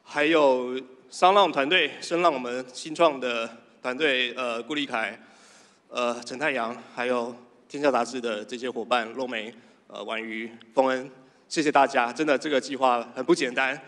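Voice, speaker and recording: A middle-aged man with a Taiwanese Mandarin accent giving halting, moved thanks as an award winner. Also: medium pitch, steady tone, fairly bright tone. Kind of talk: acceptance speech. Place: large indoor theatre stage. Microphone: stand microphone. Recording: reverberant theatre stage sound.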